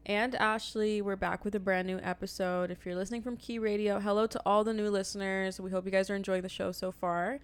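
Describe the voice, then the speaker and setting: Smooth-voiced woman co-hosting a podcast. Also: medium pitch, fairly even intonation, brisk, hardly any pauses. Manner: teasing, direct, reflective. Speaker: podcast co-host. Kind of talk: casual chat.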